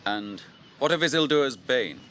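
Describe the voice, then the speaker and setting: An English actor playing a stern, noble warrior captain in a radio drama, with a grave, deliberate, declamatory delivery. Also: male voice, medium pitch, lively intonation, bright, forceful tone, slight background noise. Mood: probing, stern. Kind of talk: BBC radio drama acting.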